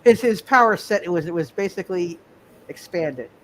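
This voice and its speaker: A man narrating a tabletop roleplaying game as game master, speaking haltingly and often repeating his words. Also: medium pitch, animated, a bit bright, slight background noise, rough recording.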